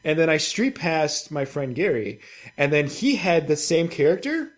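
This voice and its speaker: A man with a slightly high voice co-hosts a gaming podcast. He chats and tells stories casually, with plenty of swearing and an exasperated, ranting manner. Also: very animated, normal speed. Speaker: podcast co-host. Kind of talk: casual chat and storytelling.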